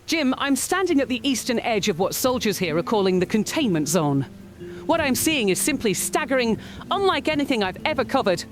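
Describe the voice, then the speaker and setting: A woman playing an urgent field correspondent in an audio drama, intense and formal. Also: a little high. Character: urgent female field correspondent at a military checkpoint. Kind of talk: scripted on-scene news report.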